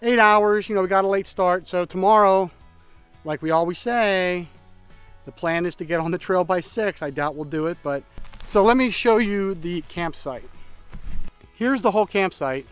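A middle-aged man chatting casually on a backpacking vlog, talking outdoors in a forest into a close camera, relaxed and thinking aloud. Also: sits high, moderate pace, clear, resonant tone, faint music behind. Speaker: backpacking vlogger. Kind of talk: casual trail vlog narration. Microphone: camera at close range.

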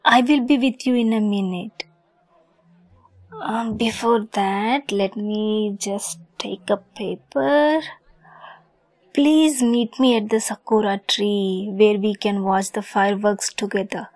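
A woman's voice: medium pitch, fairly even intonation, slow pace, darkish tone. A woman playing a lovesick, possessive young kitsune fox girl in an ASMR roleplay, sweet, coy and giggly in manner. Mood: polite.

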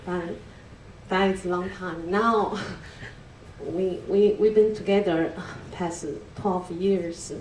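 A middle-aged woman, a native Chinese speaker with a Chinese accent, gives a nervous, halting testimony in English with many fillers and restarts. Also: fairly low pitch, fairly even intonation, a little mellow, slight background noise. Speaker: church member giving a testimony. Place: large church hall indoors. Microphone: handheld mic, picked up from a distance. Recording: echoey hall recorded from far off.

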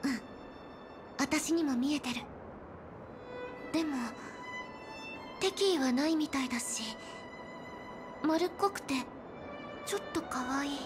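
A woman voicing a calm, low-key young female explorer in a Japanese anime game, plain and matter-of-fact in manner. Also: takes it slowly, long pauses. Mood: mild, fond. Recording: clean studio voice over game music.

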